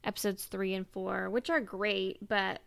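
A woman with a smooth, clear voice that often drops into creaky fry, at an average female pitch. She talks casually, as a podcast co-host sharing opinions in a fan discussion. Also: fairly even intonation, measured pace, a bit bright.

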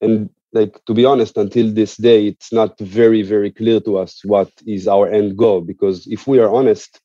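A man speaking as an interview guest, explaining in an earnest, conversational way with halting, repeated words. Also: lowish voice, steady tone, warm tone, dry recording, dull audio. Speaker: interview guest, musician and activist.

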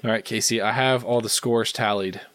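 A man's voice: fairly low pitch, steady tone, moderate pace, a bit bright, no room sound. A man hosting a casual podcast, playful and self-mocking as he reads out scores. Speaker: podcast host.

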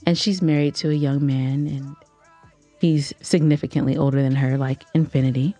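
A woman co-hosting a podcast, telling a story in casual banter with teasing, dramatic, drawn-out emphasis. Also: deep voice, fairly even intonation, dark tone, faint music behind. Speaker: podcast co-host.

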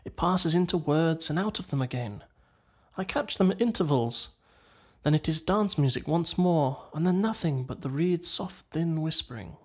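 A man's voice: fairly high pitch, lively intonation, a little slow. A man voicing a poetic water rat in a storybook, murmuring in a dreamy, languid way. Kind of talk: storybook character dialogue.

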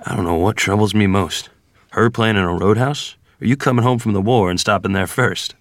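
A man's voice: on the low side, brisk, no room sound, studio quality, natural voice. A man acting genial, wry men in a dramatic script reading of a period story. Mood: gentle, wry. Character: genial jazzman or wry rural ferryman father. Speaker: actor at a screenplay table read.

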